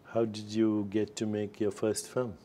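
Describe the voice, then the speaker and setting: Low, mellow-voiced older male interview host. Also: monotone. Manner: measured, inquisitive questioning with short affirmations. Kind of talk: studio interview. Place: studio set with screens behind.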